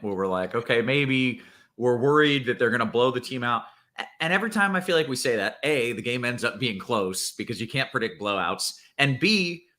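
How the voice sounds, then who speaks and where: A man's voice: medium pitch, sharp tone. A man hosting a sports betting show with upbeat, hype-style delivery. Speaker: show host.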